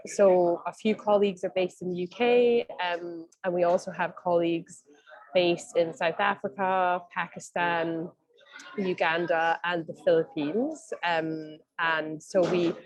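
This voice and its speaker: A woman's voice: on the low side, poor audio. A young woman with a Scottish accent giving a chatty presentation on a video call from a cafe, with plenty of ums and restarts. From Scotland. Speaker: guest speaker, senior advisor.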